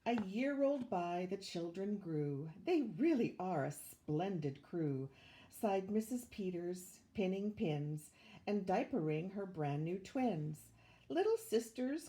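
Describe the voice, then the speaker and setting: An older woman reading a rhyming children's picture book aloud with measured, expressive storytelling. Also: medium pitch. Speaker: volunteer storybook reader, retired teacher.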